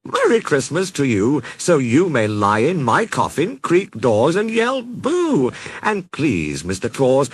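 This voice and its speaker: Man playing a restless skeleton, storybook verse, theatrical declamation, swooping pitch, dry close sound. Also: a bit bright, slightly rough, no room sound, exaggerated voice. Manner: declamatory, rhyming verse delivery.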